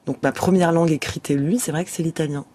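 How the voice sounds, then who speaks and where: A woman's voice: sits low, steady tone, fast, mellow. A young actress talking thoughtfully in a radio studio interview, searching for her words as she goes.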